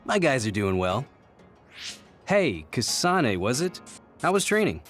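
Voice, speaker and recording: An actor playing an easygoing but authoritative military squad captain in an anime game, speaking in a matter-of-fact, instructing way. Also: male voice, medium pitch, very animated, a little slow, a bit bright. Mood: casual, encouraging. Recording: music behind.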